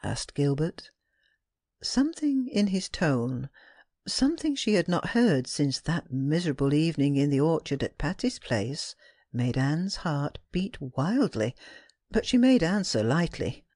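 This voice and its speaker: An audiobook narrator with a mid-pitched voice reads classic fiction. The delivery is scripted, expressive and tender, with a dramatic storytelling feel. The sound is very dry and close. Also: measured pace, dry recording.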